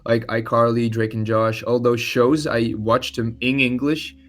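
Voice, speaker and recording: A man's voice: mid-range voice, flat delivery, measured pace, a bit bright. Hesitant young Belgian man. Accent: Belgian Dutch-speaking. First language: Dutch. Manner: hesitant, many fillers and restarts. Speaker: musician guest. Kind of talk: casual podcast interview.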